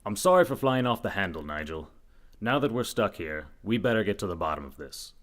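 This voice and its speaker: Man acting a low, animated dim hero detective. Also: brisk. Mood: confident. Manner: dramatic, earnest, overconfident. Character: earnest, dim, self-assured young male reporter detective. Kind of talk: comedic old-time radio mystery acting.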